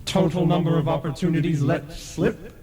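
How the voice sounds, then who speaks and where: An actor playing a stern, pompous ghost who presides as judge, declaiming officiously in a radio play. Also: male voice, on the high side, moderate pace, dark tone, soft background music, natural voice. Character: stern, pompous male ghost chairman of a tribunal. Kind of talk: old-time radio play acting. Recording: echoey long reverb, faint tape noise.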